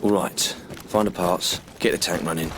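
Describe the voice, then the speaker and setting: An actor playing an anxious, by-the-book young British tank driver in a dramatic video game, earnest and exasperated. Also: male voice, sits low, steady tone, moderate pace, background noise, lightly acted. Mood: tense, determined. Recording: voice under loud music. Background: loud music.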